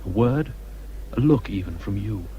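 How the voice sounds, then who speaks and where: An actor playing an ardent, anguished naval captain in love, speaking earnestly and formally in a period literary drama. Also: male voice, fairly low pitch, expressive, moderate pace, muffled recording, a little hiss or hum, lightly acted. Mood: impassioned longing.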